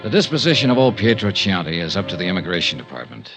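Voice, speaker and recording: A hard-boiled American investigator in a 1950s radio detective show. His lowish voice swings widely in pitch over clipped, punchy phrases, wry and world-weary, with music on the vintage recording. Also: sing-song, fast talker, a bit bright, full character voice.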